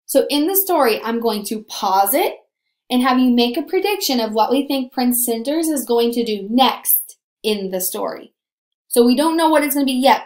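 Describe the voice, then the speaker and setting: A woman in her twenties teaching young children in an upbeat, animated, encouraging way on a video lesson. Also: fairly high pitch, echoey room. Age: 20s. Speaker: primary school teacher.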